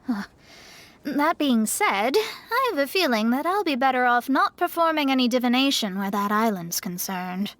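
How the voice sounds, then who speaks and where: A woman's voice: high-pitched, sing-song, normal speed, fairly bright tone, no room sound. A woman voicing a wry, put-upon young astrologer in a video game, with a dry, reluctant manner. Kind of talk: video game cutscene acting.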